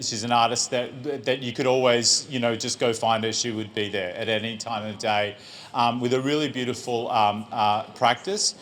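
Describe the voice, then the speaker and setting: Halting man hosting a gallery talk. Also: medium pitch, fairly bright tone. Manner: rambling, halting, many fillers and restarts. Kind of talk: live public conversation. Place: gallery space with an audience. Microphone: handheld microphone.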